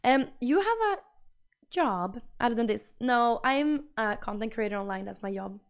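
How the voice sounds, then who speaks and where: A woman's voice: a little high, expressive, normal speed. Bubbly Swedish woman chatting with her stream. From Sweden. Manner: chatty, bubbly, repeats herself while reading chat. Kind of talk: casual livestream chat reading messages.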